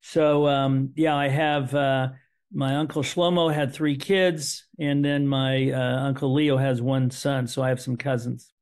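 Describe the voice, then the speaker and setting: A man's voice: medium pitch, little pitch movement, a little slow, darkish tone. A middle-aged man, whose was Hebrew, telling a family story as an interview guest in an earnest way that repeats words and restarts. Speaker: interview guest, son of survivors. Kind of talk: conversational storytelling.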